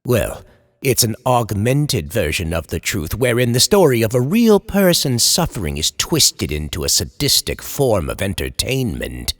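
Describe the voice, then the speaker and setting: A man's voice: fairly low pitch, wildly swooping pitch, measured pace. An actor playing an anguished male horror writer, delivering a theatrical, eloquent confession in old time radio horror style. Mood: tormented, intense. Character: anguished horror writer, a man confessing his descent into madness. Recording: long reverberant hall echo.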